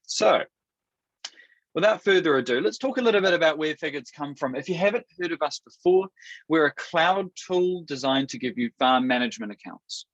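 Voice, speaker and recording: A man from New Zealand with a Kiwi accent, hosting a product webinar in an upbeat, steady presenter manner with a few restarts. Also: on the high side, brisk, no echo. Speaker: webinar host. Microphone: video call. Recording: dull dry webinar audio.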